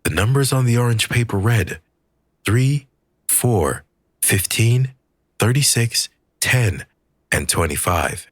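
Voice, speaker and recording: A man reading a scripted story aloud as an audiobook narrator, with a husky voice. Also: medium pitch, expressive, takes it slowly, long pauses, no room sound, clean studio sound.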